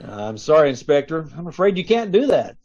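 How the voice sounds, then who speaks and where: A man's voice: a little high, sing-song, a little fast, a little mellow. A man playing a matter-of-fact, laconic police officer in 1940s radio detective drama style. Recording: dull, lo-fi video-call audio.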